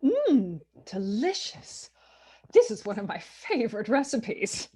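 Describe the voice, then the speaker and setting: Woman playing a husky, fluttery society hostess. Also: a little high, huge pitch swings, dark tone, heavily acted. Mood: persistent, prim. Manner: gushing, flustered, slightly hysterical rapid chatter. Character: nervous, chatty society hostess, a politician's wife. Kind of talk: live table read of a comic film script.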